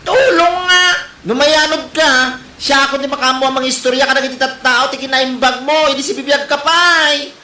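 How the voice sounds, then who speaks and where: A woman's voice: sits high, normal speed, pushed and bright. A woman playing a blunt, mocking, unsympathetic neighbor with an Ilocano accent in a comedy drama. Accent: Ilocano Filipino. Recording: roomy echo, clear background noise.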